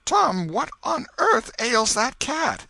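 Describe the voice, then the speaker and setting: Brief: old scolding aunt, high and sharp, as a male narrator puts her on. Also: very animated, slow pace, vocal fry, dry recording, heavily acted. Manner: sharp scolding, old-fashioned country speech. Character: stern old aunt, scolding but soft-hearted.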